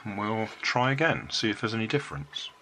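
An older man with a cold, calmly explaining a homemade circuit step by step at his hobby workbench. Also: on the low side, brisk, heavy background noise. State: has a cold. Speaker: electronics hobbyist. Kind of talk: casual workbench demonstration.

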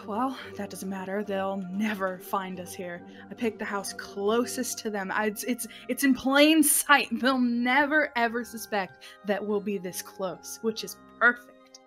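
Evil superheroine kidnapper woman acting: smug, impatient, drawn-out lines for an amateur game roleplay. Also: mid-range voice, fairly bright tone, soft background music, pitched below the performer's own voice, close to an everyday voice.

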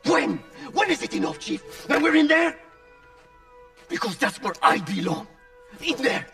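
A man voicing a frightened, bitter pilot who speaks sarcastically and breaks into desperate shouts. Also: very high-pitched, big pitch swings, measured pace, creaky, distant mic, full character voice. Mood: angry despairing. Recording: game audio with music, off-mic.

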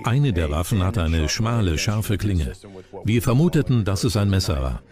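A man's voice: fairly low pitch, big pitch swings, normal speed, music in the background. An actor voicing a male forensic witness recounting findings in a documentary dub. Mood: factual.

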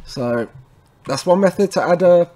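A man presenting a technical tutorial in an informal way, talking viewers through each step and often checking in with a quick tag word. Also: high voice, expressive, dark tone. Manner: informal, addresses viewers as guys, frequent tag okay. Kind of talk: casual screen-recorded how-to walkthrough.

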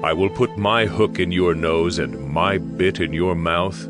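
A man's voice: low voice, a little slow, over music. A man playing a stern prophet who solemnly pronounces divine judgment in a dramatized scripture recording. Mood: stern, accusing. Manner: solemn, declamatory.

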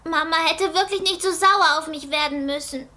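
A woman's voice: high-pitched, moderate pace, sharp tone. A woman playing a whiny, dramatic and dreamy teenage schoolgirl in an anime radio play, full of sighs and exclamations. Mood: tired, sulky. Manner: exclaiming, sighing, theatrical.

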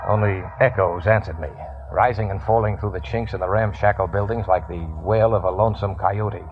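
A man's voice: lowish voice, fairly even intonation, fairly quick, lightly acted. An actor playing a cocky, wisecracking gambler who narrates his tale in hardboiled 1950s radio drama style. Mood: wry, uneasy. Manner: wry, flippant. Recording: muffled, noisy old radio recording.

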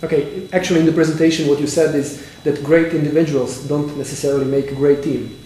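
A man with a fairly high but mellow voice asks his questions haltingly, searching for words. The room is very echoey and a faint ambience hangs behind him. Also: fairly even intonation, slight background noise. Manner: hesitant questions with fillers. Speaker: interviewer. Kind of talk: conference interview.

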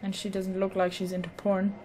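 A woman's voice: medium pitch, completely flat delivery, brisk, darkish tone, a little hiss or hum. A woman casually commenting as she plays a game, offhand and hesitant, with dry sarcastic asides. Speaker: let's play host.

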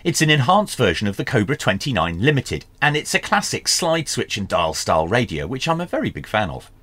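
A man in his fifties presenting a scripted product review in a measured, clearly enunciated way. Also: mid-range voice, very animated, a bit bright, no echo. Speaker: host of a radio review channel. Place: small indoor workshop.

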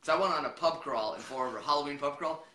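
A man's voice: a little high, fast talker. A man in his thirties chatting casually and profanely as a podcast co-host, acting out dialogue as he tells stories. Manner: animated, acts out quoted dialogue. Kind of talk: casual profane storytelling chat. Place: small living room, on a couch. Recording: echoey living room.

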